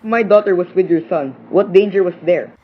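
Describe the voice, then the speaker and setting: A woman's voice: lowish voice, moderate pace, natural voice. A woman playing a stern, defensive parent in an amateur radio play, with a blunt, challenging delivery. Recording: dull lo-fi audio with clear noise.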